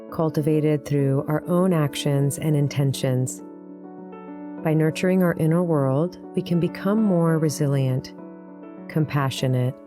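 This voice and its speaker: A woman leading a guided meditation as a teacher, speaking in a gentle, soothing, unhurried manner. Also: deep voice.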